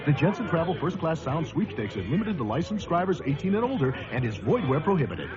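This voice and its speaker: A man working as a commercial announcer, doing a staged interview-style radio advertisement and sweepstakes pitch. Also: very animated, fast. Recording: muffled vintage radio ad, loud music.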